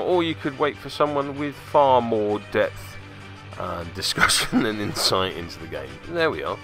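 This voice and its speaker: Bright, wry male commentator. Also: mid-range voice. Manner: wry, chatty, playfully cheeky asides. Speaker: host of a tabletop game web series. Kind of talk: casual scripted-feel commentary over game footage.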